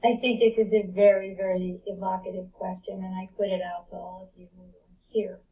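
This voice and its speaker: A woman's voice: medium pitch, level tone, fairly quick, warm tone. A woman speaking as a guest life coach in a reflective spiritual talk, building her points through emphatic repetition, recorded on a distant mic in an online live audio room.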